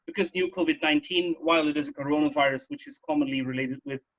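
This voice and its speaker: Higher-voiced man with a creaky edge. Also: big pitch swings, fast talker, a bit bright, highs cut off. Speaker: radio talk host. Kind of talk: casual radio discussion of health news.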